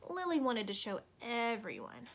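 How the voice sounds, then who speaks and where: A woman reading a children's storybook aloud expressively, with deliberate dramatic emphasis. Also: on the high side, wildly swooping pitch, fairly bright tone. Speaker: storybook reader.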